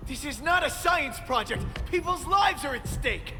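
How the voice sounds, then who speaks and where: Young American hero, video game. Swinging, animated pitch, stubborn, wisecracking, emphatic, under loud score. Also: male voice, normal speed. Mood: angry, accusing. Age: young adult. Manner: emphatic, quippy, urgent. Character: Peter Parker, impulsive wisecracking young superhero, earnest and stubborn. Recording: loud music over the voice.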